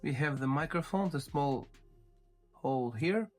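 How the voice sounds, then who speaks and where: Man, slightly high voice, casual tech reviewer, step-by-step explanatory delivery, small hesitant pauses, music behind. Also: lively intonation. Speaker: tech reviewer presenting his channel. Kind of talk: casual unboxing narration.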